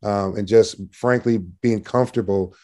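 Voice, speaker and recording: Male sales manager as podcast guest: lowish, very mellow tone, assertive and projecting, conversational business talk. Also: fairly even intonation, normal speed. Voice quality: loud, projecting voice.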